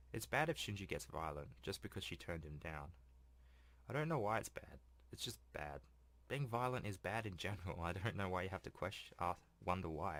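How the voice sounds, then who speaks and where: A man's voice: low voice, fairly bright tone, no echo. A young man reading a visual novel aloud as a let's play host, stumbling at times and breaking off into casual asides. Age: young adult. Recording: voice over game music. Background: game music.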